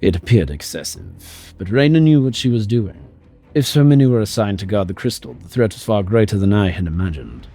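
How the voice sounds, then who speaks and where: A man playing a composed, serious royal companion, narrating a battle in a formal, measured way for an audio drama. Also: lowish voice, expressive, warm tone.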